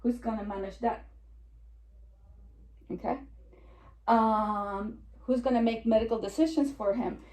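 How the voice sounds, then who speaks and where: A woman in her forties with a Puerto Rican accent gives a seminar talk as an estate planning attorney, chatty and given to joking asides. Her voice sits a little high and carries the echo of a roomy meeting room. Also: steady tone, unhurried, long pauses, darkish tone. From New York. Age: 40s. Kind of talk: seminar presentation.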